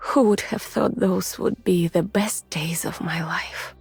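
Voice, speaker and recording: Slow breathy russian lady scientist, gentle and weary, game character, very close and whispery, music behind. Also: lowish voice, fairly even intonation, dry recording, heavily acted. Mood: haunted, somber. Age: adult, mature. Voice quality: soft, breathy, often near a whisper. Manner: measured, formal, restrained. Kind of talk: soft, intimate ASMR-like game voice acting.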